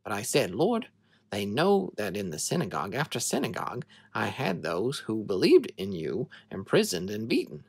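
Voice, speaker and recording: A man reading a Bible story aloud in an expressive, dramatic storytelling manner. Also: mid-range voice, normal speed, creaky, slightly rough, no room sound.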